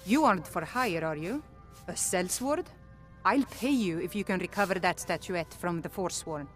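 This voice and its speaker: A woman's voice: fairly low pitch, animated, normal speed, a bit bright, soft background music. A woman playing a worried widowed shopkeeper who is down on her luck, in fantasy video game dialogue. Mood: anxious pleading.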